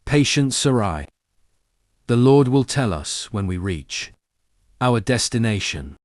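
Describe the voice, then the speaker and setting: An actor playing a devout, patient patriarch in an audio Bible drama, speaking in a measured, solemn way. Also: male voice, medium pitch, very animated, a little mellow, no echo, studio quality. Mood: calm, devout. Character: patient, devout patriarch, earnest and authoritative man.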